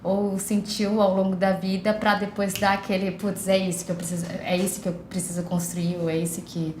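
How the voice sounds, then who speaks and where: A woman's voice: medium pitch, flat delivery, brisk, a little mellow, slight background noise. A Brazilian woman entrepreneur talking hesitantly and reflectively in a documentary interview. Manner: hesitant, reflective, frequent fillers. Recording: roomy interview room.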